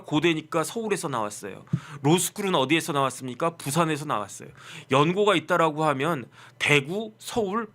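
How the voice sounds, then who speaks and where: Man, fifties, lawyer host, slightly high voice, rhetorical, wry asides. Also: fairly bright tone. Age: 50s. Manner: rhetorical questions answered himself, wry asides. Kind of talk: casual political commentary.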